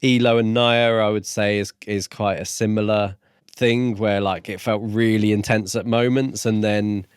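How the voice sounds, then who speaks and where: A man with a fairly low voice co-hosts a casual book podcast. He thinks aloud in a reflective, somewhat hesitant way, circling toward his point. The recording is dry and close, with no room sound. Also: steady tone, moderate pace, smooth, no echo.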